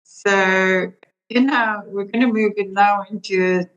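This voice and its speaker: A woman hosting a podcast, gently thanking her guests and asking them questions. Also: medium pitch, steady tone, unhurried, highs cut off. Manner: gentle, affirming. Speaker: podcast host. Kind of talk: interview hosting.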